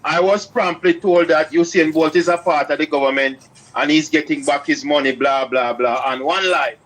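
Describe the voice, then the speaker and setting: A high-voiced man speaks in a bright, forceful tone. He is a guest on a live-stream chat and sounds urgent and cautionary. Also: normal speed, poor audio.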